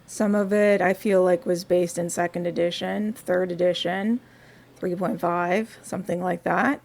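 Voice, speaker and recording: A woman with a raspy voice, talking softly and unhurriedly through a quiet crafting vlog in her home studio, with wry asides. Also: fairly low pitch, steady tone, unhurried, slight background noise. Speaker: crafting vlogger.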